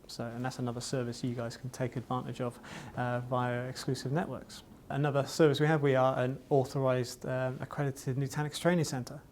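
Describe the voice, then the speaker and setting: A man's voice: medium pitch, a little mellow, slight background noise. A man from Wales, speaking in a corporate promotional interview and leaning on "you know" fillers. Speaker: distributor sales representative.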